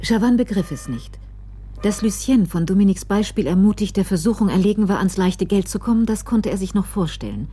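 A woman narrating a literary crime story in a radio drama, in a measured storytelling manner. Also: medium pitch, animated, mellow, breathy edge. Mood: measured, suspenseful.

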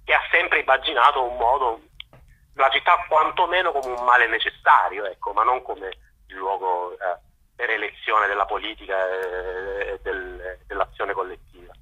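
A man speaking as a journalist guest, analysing in a halting way, searching for words and restarting phrases, over a phone call to a radio show. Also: fairly low pitch, pushed and bright, breathy edge. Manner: halting, searching for words with repeated false starts. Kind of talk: spoken political and historical analysis in an interview.

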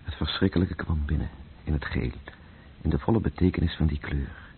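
An actor playing a solemn, brooding narrator who speaks in poetic, incantatory phrases in a radio play. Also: male voice, rumbling low, brisk, vocal fry. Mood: incantatory, ominous. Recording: noisy, dull old radio play recording.

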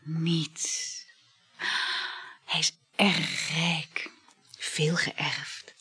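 Breathy, coy, flirtatious woman. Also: low voice, level tone, drawn-out words, bright, forceful tone, heavily acted. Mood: boastful, dreamy. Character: flirtatious, teasing woman boasting about her lovers. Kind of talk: Dutch radio play acting.

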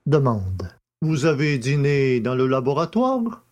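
A man's voice: mid-range voice, sing-song, darkish tone. A man voicing a courteous, methodical examining magistrate who formally questions witnesses in an audiobook drama. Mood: methodical, probing. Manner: formal, deliberate, announces each question. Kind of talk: audiobook character acting.

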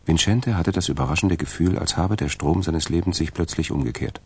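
A man with a gravelly voice narrating a German radio crime drama from a script. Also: deep voice, fairly quick.

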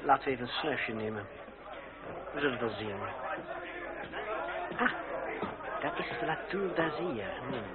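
An actor playing a sardonic young revolutionary fencing master in a period radio play, formal and coolly mocking. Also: male voice, mid-range voice, expressive, measured pace, long pauses, close to an everyday voice. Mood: dry, musing. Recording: tinny narrowband old radio drama, crowd murmur.

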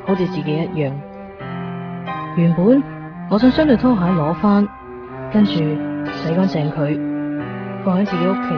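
A woman's voice: fairly low pitch, close to an everyday voice. Wistful young woman narrating acted by a woman, muffled. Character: wistful heartbroken young woman recalling a lost love. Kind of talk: Cantonese radio drama, reflective first-person narration. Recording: muffled 1990s radio recording, music behind.

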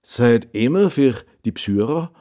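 A man's voice: mid-range voice, animated, measured pace, mellow. An older man from Alsace with an Alsatian accent, telling anecdotes as an interview guest. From Munster valley, Alsace. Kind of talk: anecdotal storytelling.

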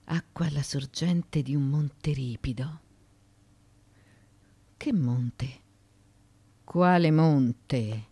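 Low-voiced, intense tragic Greek heroine. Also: female voice, vocal fry, dark tone, breathy edge, heavily acted. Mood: anxious, bitter. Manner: intense, deliberate, self-questioning soliloquy. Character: proud, desperate ancient Greek woman facing suicide. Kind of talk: theatrical radio drama monologue.

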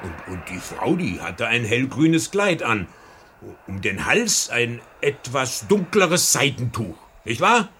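An actor playing a chatty old lighthouse keeper in a radio crime drama, with a folksy, halting way of talking. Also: male voice, medium pitch, sing-song, bright, forceful tone, full character voice. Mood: insistent. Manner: folksy, hesitant, repeating words. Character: old lighthouse keeper and seaman, bluff, chatty, whisky-drinking. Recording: old radio play with faint background noise.

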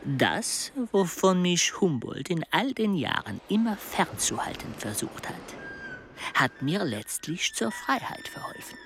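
Wry travelling companion, radio drama: fairly high male voice, creaky, teasing, over noisy ambience. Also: sing-song, unhurried, exaggerated voice. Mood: teasing, confiding. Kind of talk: German radio drama acting. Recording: clear outdoor ambience noise.

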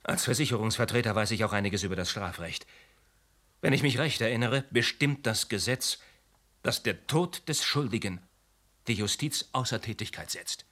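An actor playing a self-righteous insurance agent who confesses to murder in a deliberate, formal, unrepentant way in a German radio play. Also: male voice, on the low side, animated, fairly bright tone. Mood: resolute.

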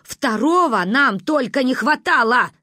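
An exasperated mother, acted out by a woman narrator, anxious and scolding with emphatic delivery. Her voice is fairly high and animated, recorded very dry. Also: fairly quick, breathy edge, no room sound. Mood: cross.

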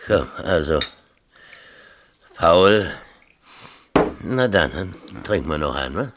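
An actor playing a middle-aged mayor in a 1970s radio drama, chummy, colloquial and patronizing while evasive. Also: male voice, sits low, expressive, takes it slowly, very noisy. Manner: familiar, colloquial, brushing off with hearty chumminess.

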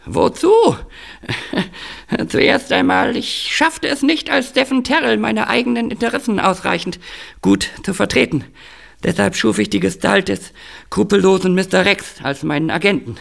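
Faded male screen star: theatrical, raised creaky voice, emphatic audio drama delivery. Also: high-pitched, lively intonation, a little slow, a bit bright, exaggerated voice. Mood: dramatic confession. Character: theatrical ageing male film star, proud, confessing his scheme.

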